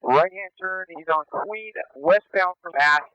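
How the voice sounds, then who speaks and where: A man working as a police officer, calling out a pursuit over police radio in terse, halting live commentary. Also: high-pitched, sharp tone, clear, resonant tone, no echo. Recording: muffled police scanner radio.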